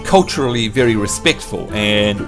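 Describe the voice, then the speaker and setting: A man's voice: on the low side, lively intonation, moderate pace, music in the background. A man from New Zealand chatting casually with his live-stream viewers, playful and teasing as he quizzes them. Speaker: game streamer.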